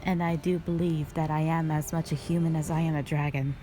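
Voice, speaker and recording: A woman playing a stern, calm, duty-bound dragon guardian, speaking formally and solemnly in a scripted roleplay audio drama. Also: low-pitched, soft background music, close to an everyday voice. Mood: stern, resolute. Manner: measured, solemn, formal phrasing.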